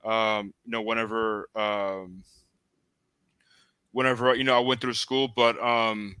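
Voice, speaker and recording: Bright, clear-voiced hesitant host, a man. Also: fairly low pitch, flat delivery, measured pace, dry recording. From United States. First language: Armenian. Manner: hesitant, heavy on "you know" fillers. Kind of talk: casual interview conversation.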